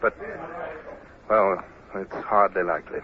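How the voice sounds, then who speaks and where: A low-pitched British naval captain of the Napoleonic era, anxious yet decisive. He speaks in clipped orders and hesitant asides. The old radio drama recording is dull and full of noise. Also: fairly even intonation, a little slow. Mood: worried, musing.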